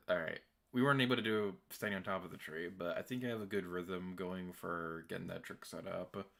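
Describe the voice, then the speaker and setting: Deep gravelly man streaming a game. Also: fairly bright tone. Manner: chatty and self-deprecating, with filler words and laughs. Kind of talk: casual gameplay commentary.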